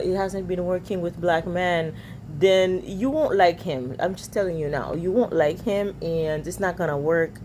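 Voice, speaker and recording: Low, mellow woman giving blunt dating advice. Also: slight background noise. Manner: direct, lecturing. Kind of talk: advice reaction video.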